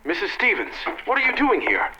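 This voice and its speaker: Casting: man as wary stool pigeon, swooping lively voice, radio play, heavy noise. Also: high voice, a little fast. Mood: surprised. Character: jittery male stool pigeon informant, small-time crook. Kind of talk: old-time radio comedy acting. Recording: very noisy, muffled radio-style sound.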